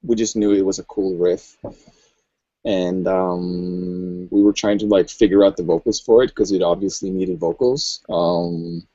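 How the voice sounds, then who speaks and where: A man speaks slowly and hesitantly, filling gaps with ums as he explains. His voice sits low. The recording is dry and low-fidelity. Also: steady tone, dark tone, no room sound, lo-fi sound. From Norway. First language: Norwegian. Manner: thoughtful, hesitant, many ums. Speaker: electronic music producer, guest. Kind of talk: casual interview chat.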